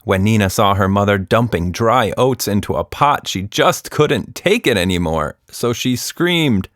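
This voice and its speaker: Lively, animated male storyteller. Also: lowish voice, a little slow, no echo, studio quality. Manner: animated, teasing, talks directly to the listener. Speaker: author and storyteller of a children's podcast. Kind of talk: playful scripted storytelling for children.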